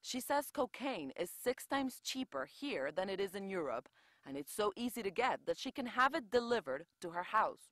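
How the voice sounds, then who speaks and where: A woman correspondent narrating a scripted television news report in a measured, expressive way. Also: medium pitch, no room sound, studio quality.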